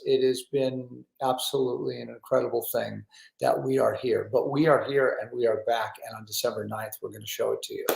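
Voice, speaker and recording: A male talk show host with a slightly high voice speaks in an effusive, conversational way. The sound is roomy, with clear echo around his words. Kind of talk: casual interview and chat.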